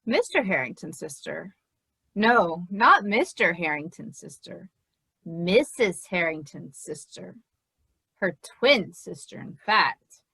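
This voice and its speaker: A close, dry recording of a woman playing a scatterbrained household chatterer. She rambles in an exaggerated comic farce style, her high voice swooping around. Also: very animated, takes it slowly, vocal fry, bright, forceful tone, slightly rough, dry recording, full character voice. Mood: matter-of-fact. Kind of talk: amateur comic improvised-sounding farce acting.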